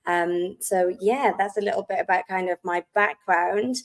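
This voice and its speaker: A woman's voice: medium pitch, normal speed. A woman from South Wales with a Welsh accent, a guest in a casual interview, talking in a friendly, chatty way with frequent ums. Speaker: interview guest, wellness business founder.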